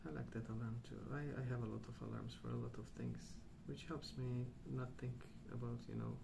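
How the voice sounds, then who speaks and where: A man speaking softly and breathily in an ASMR style, often near a whisper, slow and calm with hesitant pauses between short phrases. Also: medium pitch. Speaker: ASMR host. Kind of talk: soft-spoken rambling ASMR. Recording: clear background noise.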